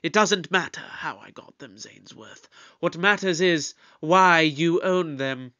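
Brisk, authoritative space detective in an audio drama. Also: medium pitch, sharp tone, highs cut off. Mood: brisk, probing.

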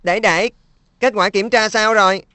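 An earnest big-brother boy, voiced by a woman in a children's cartoon, caring and coaxing. The voice is bright and sharp, recorded close and dry. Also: medium pitch, steady tone, moderate pace, dry recording, natural voice. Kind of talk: cartoon dub.

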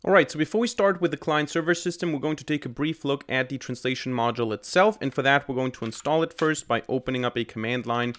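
A man's voice: mid-range voice, quick pace, hardly any pauses, a bit bright. A man in his twenties, a native German speaker, explaining code as a tutorial host into a close desk microphone. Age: 20s. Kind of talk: explanatory screencast narration. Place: small indoor room at a desk. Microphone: desk microphone close in front. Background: keyboard typing.

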